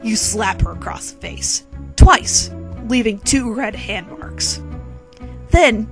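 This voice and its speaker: A woman's voice: on the high side, expressive, takes it slowly. A woman narrating a comedic dramatic reading of fan fiction in a theatrical, tongue-in-cheek manner. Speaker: narrator and reader. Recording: quirky music behind.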